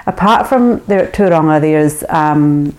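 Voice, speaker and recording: A woman hosting an interview, chatty and encouraging, filling pauses with fillers and murmurs of agreement. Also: low voice, expressive, a little mellow. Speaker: interviewer, host. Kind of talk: casual interview chat.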